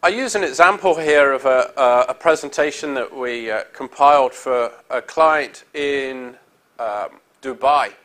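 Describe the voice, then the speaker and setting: A man's voice: mid-range voice, a little slow, fairly bright tone. A middle-aged man with a European accent giving a conference presentation on a hall stage, upbeat and salesy with frequent ums and uhs. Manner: upbeat salesy delivery with many fillers. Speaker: industry speaker.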